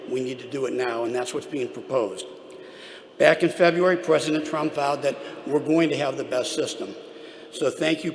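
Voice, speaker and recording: A man giving prepared remarks as a trade association leader at a public event, with an emphatic, deliberate delivery. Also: mid-range voice. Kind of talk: prepared podium speech. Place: large echoing hall at a public event. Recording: echoey hall, clear background noise.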